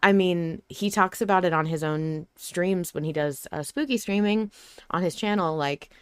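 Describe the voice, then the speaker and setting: A woman's voice: lowish voice, moderate pace, smooth, dry recording, clean studio sound. A woman hosting a podcast in casual conversation, talking informally and emphatically with blunt interjections. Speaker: podcast host.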